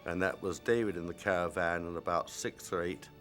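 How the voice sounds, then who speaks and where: A man from a film crew recalling the production in a measured interview. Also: low-pitched, fairly even intonation, a bit bright, soft background music. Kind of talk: reminiscing interview.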